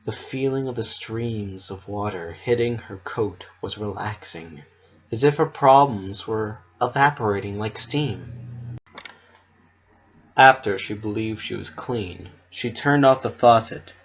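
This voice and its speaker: Lo-fi dramatic story narrator, mid-range voice. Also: mid-range voice. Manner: expressive, measured storytelling.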